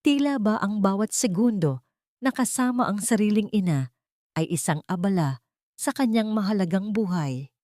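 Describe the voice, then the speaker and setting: A woman's voice: mid-range voice, expressive, normal speed, a little mellow, no room sound, clean studio sound. A woman storyteller reading scripted, dramatic narration for an audio story.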